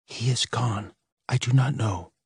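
A man's voice: mid-range voice, measured pace, breathy edge, no echo, studio quality, pitched above the performer's own voice. A man voicing a frightened, stern mother who whispers urgent warnings to her children and drills them harshly. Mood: tearful.

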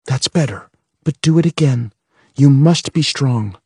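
A man's voice: mid-range voice, measured pace, warm tone, slightly rough, no echo, studio quality, voice pushed up. A man voicing a frightened, stern mother who whispers urgent warnings to her children and drills them harshly.

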